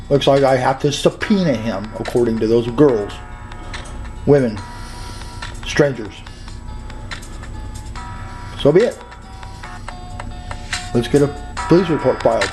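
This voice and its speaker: A man narrating his own case in a personal, dramatized video style. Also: mid-range voice.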